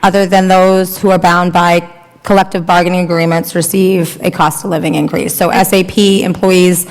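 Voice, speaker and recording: A woman on the town finance staff explains budget items to a committee, firm and matter-of-fact. Her voice is a little low for a woman, and a long reverb from the meeting room hangs behind it. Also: flat delivery, normal speed.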